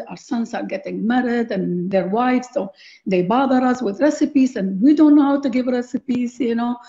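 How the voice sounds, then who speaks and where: An older woman with an Iraqi accent speaks in a mellow, dark voice over a dull video call. She tells her story casually as a guest, peppering it with "you know" and "like". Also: a little high. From Baghdad, Iraq. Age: middle-aged or older. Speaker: interview guest, cookbook author. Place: living room at home, on a video call. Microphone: video call computer mic.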